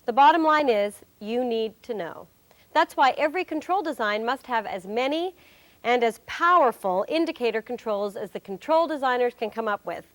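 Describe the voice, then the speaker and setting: A woman's voice: on the high side, lightly acted. A woman acting as a wry, cheerful presenter, giving scripted instruction in a corporate training film.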